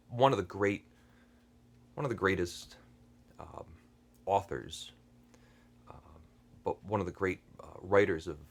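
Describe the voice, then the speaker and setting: A man with a very low, deep voice talks slowly and unhurriedly about books he loves. He is hesitant and earnest, filling pauses with ums, restarting phrases and clearing his throat often. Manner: thoughtful and earnest. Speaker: book channel host. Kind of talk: unscripted book review talk.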